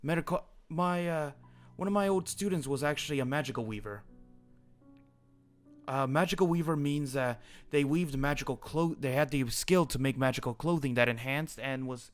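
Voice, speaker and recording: Guy roleplaying a headmaster, chatty, long explanations, soft music behind. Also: mid-range voice, moderate pace. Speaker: roleplayer playing a school headmaster. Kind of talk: improvised character roleplay.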